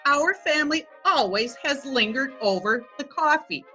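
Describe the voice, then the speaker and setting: A woman's voice: high voice, animated, moderate pace, bright, forceful tone, highs cut off, soft background music. A woman playing a vain, proud, wealthy society dowager of seventy, haughty and imperious, reading in a readers theatre play.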